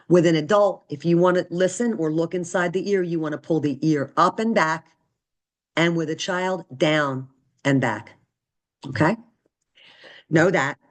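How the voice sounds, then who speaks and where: A woman with a deep voice giving a recorded nursing lecture, conversational and often checking in with "right?" and "okay?". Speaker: nursing instructor.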